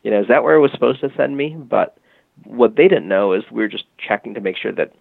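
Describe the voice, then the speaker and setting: Gravelly man on a tinny line. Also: mid-range voice, expressive, fast, no echo. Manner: rambling, many fillers and "you know". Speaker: guest, tech company executive. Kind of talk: casual storytelling interview. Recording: dry phone-like line.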